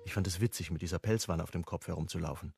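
Low, husky hunted sleuth. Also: male voice, very fast, a little mellow, dry recording, soft background music, full character voice. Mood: tense, wry. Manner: clipped, brooding, first-person telling. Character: hunted, wry amateur sleuth narrating his own story. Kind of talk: German radio crime drama narration and acting.

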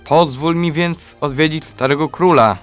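A man's voice: fairly high pitch, normal speed, soft background music, natural voice. A man playing a devoted, sorrowful young prince, earnest and solemn, in a children's radio play. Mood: sad.

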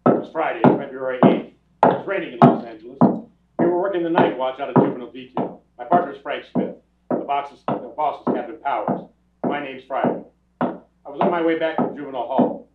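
A man's voice: medium pitch, fairly quick, over music, close to an everyday voice. An older man acting a terse, matter-of-fact police detective in a 1950s-style radio drama. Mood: businesslike narration. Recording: tinny, distant, roomy live stage recording.

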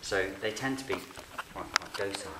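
A middle-aged man, a licensed bird ringer, calmly explaining his fieldwork while handling birds in a farm barn. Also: on the low side, brisk. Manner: calm, quiet, hands-on explaining with fillers. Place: open farm barn on straw, outdoors air. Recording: noisy outdoor camera recording.

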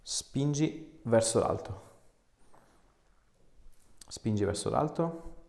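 A man's voice: medium pitch, animated, very slow, creaky, a little mellow. A man in his thirties working as a chiropractor, giving short, informal instructions and chatting casually during a treatment. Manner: short, informal directive phrases. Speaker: chiropractor and osteopath. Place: small indoor clinic room. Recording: echoey small treatment room.

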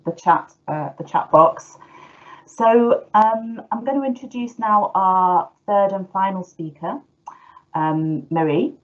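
A middle-aged woman hosting an online talk as a former teacher, hesitant with many restarts, over a video call. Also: fairly low pitch. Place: indoors at home. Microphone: laptop video call. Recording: muffled video call audio.

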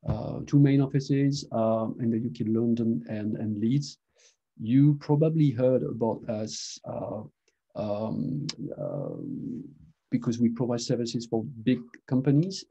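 A middle-aged man with a French accent gives a webinar talk over a video call. His voice is very dark and a little husky. He speaks haltingly and often restarts with "uh" and "um". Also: mid-range voice, poor audio. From France. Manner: halting, many fillers and restarts. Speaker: presenter, mobile engineering manager. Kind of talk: conference webinar presentation.

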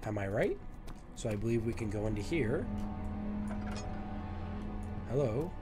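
A man's voice: on the low side, lively intonation, unhurried, loud music behind the voice. A young man with a stuffed-up, nasal voice from a cold, chatting and joking to himself while playing a game at home on a headset mic. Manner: chatty, self-mocking, talks to objects. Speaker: gaming video host. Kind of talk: let's play commentary. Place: small room at home.

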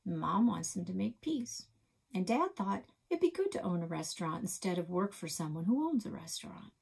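A woman's voice: on the low side, big pitch swings, moderate pace, gravelly, a little mellow, breathy edge, exaggerated voice. A woman reading a children's book aloud, voicing a sulky, homesick young boy who complains and rambles. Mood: complaining.

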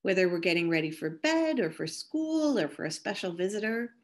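A woman minister reading scripture and a devotional reflection in a careful, measured way. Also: mid-range voice, big pitch swings.

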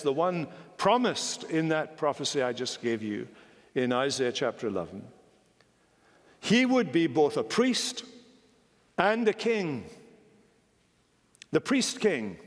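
A man in his sixties preaching a sermon from a pulpit in a large wood-panelled church, emphatic and measured, with wide swings of pitch. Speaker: senior minister preaching. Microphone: pulpit microphone. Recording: long church hall reverb.

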